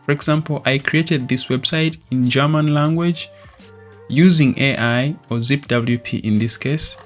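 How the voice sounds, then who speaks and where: Young man calmly explaining software steps. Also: medium pitch, a little slow, soft background music. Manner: steady explanatory walkthrough with fillers. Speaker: tutorial host. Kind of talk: screen-recorded software tutorial.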